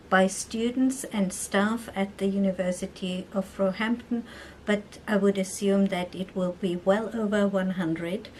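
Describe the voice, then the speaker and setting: A woman whose first language is Austrian German speaks English with that accent, at an unhurried pace. She is a university academic talking in a documentary interview, thoughtful and halting, pausing often on fillers. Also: medium pitch, a little mellow, slight background noise, rough recording.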